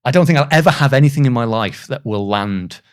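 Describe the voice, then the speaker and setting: A man with a mid-pitched voice talks in a reflective interview style. He comes across as earnest and self-deprecating, often pausing haltingly mid-thought before carrying on conversationally. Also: animated. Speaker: voice actor, podcast guest.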